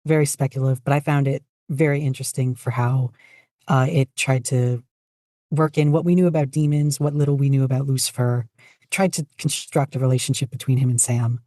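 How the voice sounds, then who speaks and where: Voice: medium pitch, fairly even intonation, fairly quick, dark tone, no echo, clean studio sound. A podcast guest chatting casually about their own creative work in a hesitant, self-deprecating way. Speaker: podcast guest, fan fiction writer and audio narrator. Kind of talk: casual interview chat.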